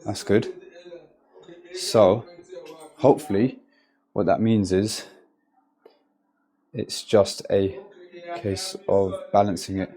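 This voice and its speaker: Southeast gas engineer, stuffy cold-nose voice, fairly low, chatty talking through his work. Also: steady tone, slow, pauses often, mellow, rough recording. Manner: chatty, explains work step by step. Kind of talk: casual work vlog to camera. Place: customer's house. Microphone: handheld phone.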